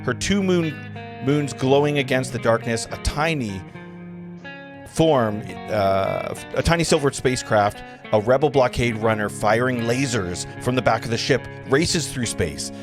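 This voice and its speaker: Male narrator for a table read, mid-pitched, stumbling a little through screen directions, music playing behind. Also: lively intonation. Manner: halting, stumbling over the script. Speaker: narrator reading stage directions. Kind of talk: live table read of a film script.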